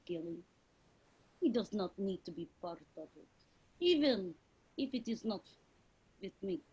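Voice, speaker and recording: A woman playing a grave, wry spy in a staged reading, speaking haltingly and deliberately with weighty pauses. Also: a little high, darkish tone, husky, low-quality recording. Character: grave, wry woman spy planning her husband's killing.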